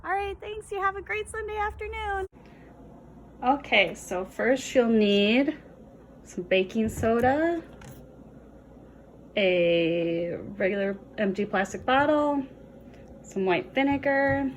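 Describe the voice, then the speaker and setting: A woman's voice: a little high, lively intonation, slow pace, long pauses. A woman presenting for children in a read-aloud and casual demonstration style, with a noisy recording. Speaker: storytime presenter.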